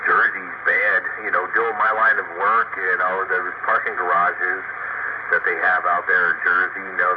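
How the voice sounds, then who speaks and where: A man chatting casually over two-way radio. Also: sits low. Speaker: pipe fitter chatting on radio. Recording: muffled, very noisy two-way radio.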